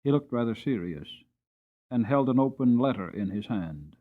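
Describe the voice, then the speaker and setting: A man's voice: medium pitch, lively intonation, moderate pace, narrow, tinny audio. A man narrating a story as a dramatic audiobook reader.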